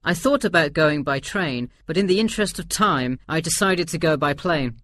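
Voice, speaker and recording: A man's voice: fairly high pitch, lively intonation, normal speed, fairly bright tone, no echo, studio quality. A man playing an easygoing middle-aged tourist who gives agreeable, explanatory answers in a scripted listening-test dialogue. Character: easygoing forty-one-year-old male tourist answering survey. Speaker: survey respondent.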